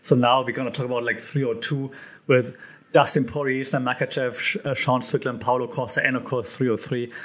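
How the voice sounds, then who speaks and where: A man hosting his own podcast, talking loosely and conversationally with frequent ums, uhs and restarts. His voice sits at an ordinary middle pitch for a man and sounds a little hoarse. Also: flat delivery, fairly quick. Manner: casual, chatty, frequent fillers and restarts. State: slightly hoarse. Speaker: podcast host. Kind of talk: solo podcast commentary.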